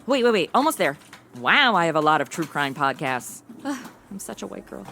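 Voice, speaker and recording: A woman's voice: lowish voice, big pitch swings, moderate pace, sharp tone, slight background noise, heavily acted. A young woman playing a chatty, ditzy modern influencer who hosts and banters in a comedy audio drama. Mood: excited, chatty. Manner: breezy, casual valley-girl slang. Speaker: host.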